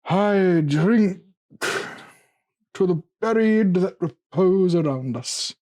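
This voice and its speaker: A man's voice: high voice, animated, takes it slowly, mellow, voice pushed up. A man voicing a drunken, boastful and gullible jester nobleman in a gothic horror story, with tipsy, exclamatory interjections. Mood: merry, tipsy.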